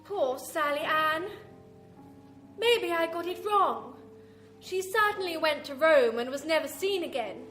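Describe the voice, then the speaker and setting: A woman's voice: sits high, lively intonation, a little slow, fairly bright tone, soft background music. A woman playing a teasing, sinister hostess of a classic horror radio show, addressing listeners theatrically. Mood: teasing, ominous.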